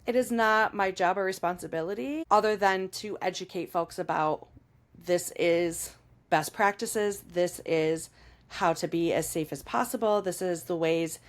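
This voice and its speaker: A woman podcast host and sex educator, hoarse and raspy from a cold, chatting emphatically and laughing often. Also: on the low side, measured pace. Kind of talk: casual podcast conversation.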